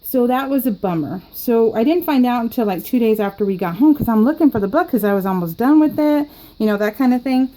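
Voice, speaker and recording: Chatty woman with a high voice. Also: lively intonation, fast talker, dark tone, rough recording. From California. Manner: chatty, rambling, laughs often. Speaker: scrapbooking vlogger. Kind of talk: casual storytelling while crafting.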